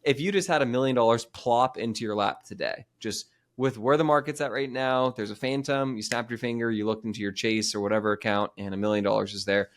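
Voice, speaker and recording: A man in his thirties hosting a podcast, chatting casually in a quick, rambling way with many restarts. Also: mid-range voice, fairly even intonation. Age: 30s. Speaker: podcast host. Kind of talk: casual interview chat.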